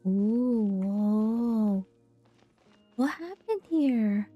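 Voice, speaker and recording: A woman's voice: fairly high pitch, lively intonation, very slow, phone-quality sound, faint music behind. A woman giving casual, reactive game commentary in short murmured phrases to herself, at times reading text aloud. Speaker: game streamer.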